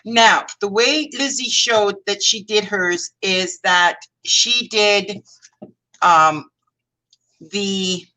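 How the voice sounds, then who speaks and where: A woman's voice: medium pitch, extremely slow, sharp tone, rough recording. A Canadian woman hosting a craft live stream, chatting casually and correcting herself as she works. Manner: rambling, self-correcting while working. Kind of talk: casual craft demo chat.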